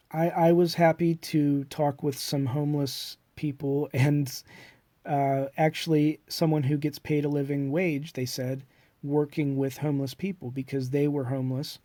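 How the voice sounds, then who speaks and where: A man's voice: a little high, dark tone, no room sound. A middle-aged man with a cold and a congested voice, musing in a hesitant, reflective monologue full of fillers and restarts. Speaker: self-published author. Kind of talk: rambling personal monologue.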